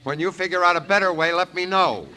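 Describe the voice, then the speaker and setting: Hammy, high-pitched actor as a scheming comic papa. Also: male voice, quick pace, close to an everyday voice. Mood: comic mischief. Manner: hammy, wisecracking. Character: scheming comic man posing as father of seven girls. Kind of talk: 1940s radio comedy acting. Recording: noisy, crackly 1940s radio recording.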